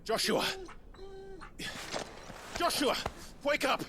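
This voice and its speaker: An actor playing a resolute, brooding young warrior leader in a video game, earnest and intense. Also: male voice, extremely high pitch, very slow, full character voice. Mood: urgent. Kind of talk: dramatic video game cutscene acting. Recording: clear background ambience noise.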